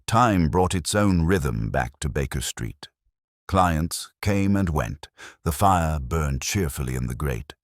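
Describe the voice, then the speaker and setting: A man narrating a scripted first-person mystery story in a measured, formal, literary manner. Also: very deep voice, no echo, studio quality. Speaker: audiobook narrator.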